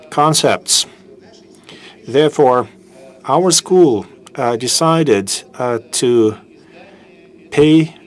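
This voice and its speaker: A man who heads a business school program, giving a formal, halting welcome speech into a microphone in a lecture room, pausing often on fillers. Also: mid-range voice. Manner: slow and halting, with many filler pauses. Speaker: business school program head introducing a guest speaker. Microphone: handheld microphone.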